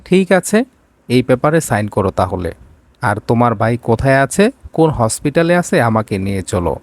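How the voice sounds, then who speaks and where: A man's voice: medium pitch, sing-song, moderate pace, no echo. A man voicing a curt, commanding young rich businessman in an audio romance story.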